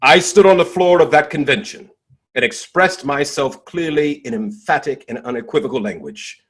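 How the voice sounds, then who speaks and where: A man performing a dramatic monologue as a dignified, impassioned nineteenth-century abolitionist orator, declaiming in swelling and hushed phrases with deliberate pauses. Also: fairly high pitch, lively intonation, husky. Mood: emphatic, righteous.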